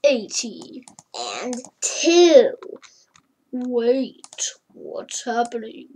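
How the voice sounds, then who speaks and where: A child narrating a homemade number-block animation in a slow, wondering way, with many hums and murmurs. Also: mid-range voice, huge pitch swings, darkish tone, slightly rough, poor audio.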